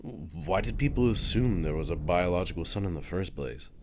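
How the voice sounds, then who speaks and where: Man playing a blunt male scholar, dry, questioning, matter-of-fact, very low voice, ASMR audio drama. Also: lively intonation, normal speed, background noise. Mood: skeptical.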